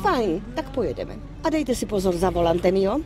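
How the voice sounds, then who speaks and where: An actress playing a brisk, shrewd, bossy middle-aged office insider in a dubbed game, matter-of-fact and chiding. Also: female voice, mid-range voice, sing-song, music in the background, close to an everyday voice. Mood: bossy, knowing. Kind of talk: dubbed video game cutscene acting. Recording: clear vehicle and ambient noise behind.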